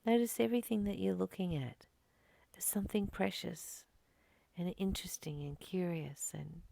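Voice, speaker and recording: A woman reading a spiritual text aloud and reflecting on it in a slow, gentle, contemplative way. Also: lowish voice, gravelly, no echo. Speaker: spiritual study reader and teacher.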